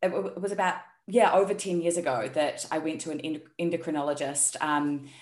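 A woman with a New Zealand accent talks as a podcast guest in a very low voice. Her speech is relaxed and open, with frequent ums. The sound is echoey, as though she is in a bare room. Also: a little fast. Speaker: guest, health and performance coach. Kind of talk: casual interview chat.

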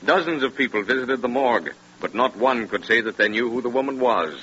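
Forceful male 1930s radio narrator. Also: mid-range voice, animated, brisk. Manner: grave, theatrical. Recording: noisy, dull 1930s radio recording.

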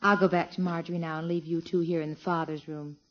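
An actress playing a calm, reassuring nurse in a 1950s radio comedy. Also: female voice, lowish voice, fast talker, natural voice. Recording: dull, muffled old radio recording.

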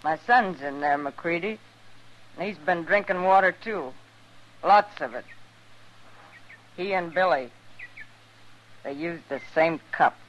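An actress playing a grim, resolute American frontier wife and mother in an old-time radio western, terse and deliberate. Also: fairly low pitch. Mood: cold resolve. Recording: dull vintage radio recording.

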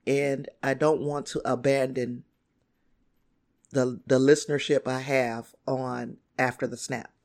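A man hosting his own podcast solo, hoarse and raspy as he loses his voice, rambling with frequent throat clearing. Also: mid-range voice, little pitch movement, unhurried, darkish tone. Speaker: podcast host.

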